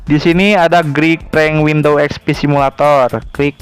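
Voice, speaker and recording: A man narrating a casual tutorial in an informal, halting way with frequent pauses. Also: on the high side, fairly even intonation, soft background music. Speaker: video creator and tutorial presenter.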